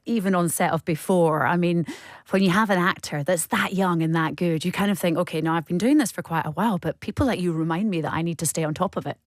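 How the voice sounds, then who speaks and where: Low-voiced woman interviewing on radio. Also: fast, no room sound, clean studio sound. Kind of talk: chatty celebrity interview. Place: radio studio.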